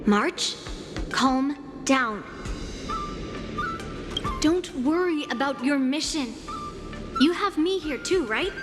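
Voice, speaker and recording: A woman playing a confident, blunt but caring young girl swordswoman in a video game, brisk and commanding. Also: sits high, lively intonation. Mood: encouraging, earnest. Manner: brisk, commanding, self-assured. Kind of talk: video game character acting. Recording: long reverb, music behind.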